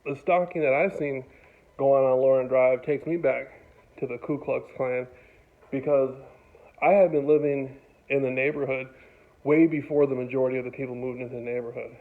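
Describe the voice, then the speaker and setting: A man giving an unscripted, casual monologue in a rambling, halting way, often repeating his phrases. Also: medium pitch, fairly even intonation. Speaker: commentator on local news. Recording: phone-like, narrow tinny sound.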